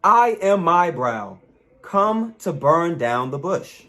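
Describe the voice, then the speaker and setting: A man voicing a humble farmer in a folktale, declaring himself plainly and deliberately. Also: on the high side, very animated, fairly bright tone, heavily acted. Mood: dutiful. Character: humble male farmer answering the fairies promptly.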